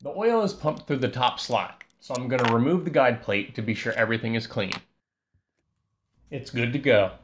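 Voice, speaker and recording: A man giving a calm, scripted voice-over that walks through a repair step by step. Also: medium pitch, moderate pace, slight background noise. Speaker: repair channel host.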